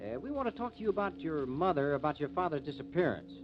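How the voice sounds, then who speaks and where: An actor playing a brisk, inquisitive and level-headed newspaper reporter, in 1950s radio drama style. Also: male voice, a little high, sing-song. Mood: brisk, probing. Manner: brisk, probing. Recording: muffled, noisy old radio recording.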